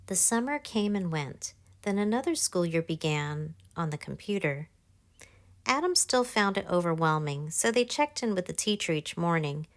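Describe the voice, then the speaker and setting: A woman narrating a children's picture book, reading aloud in simple, clear phrases for young listeners. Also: lowish voice, lively intonation, normal speed, dry recording. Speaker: author narrating her own children's book. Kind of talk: scripted children's storybook narration.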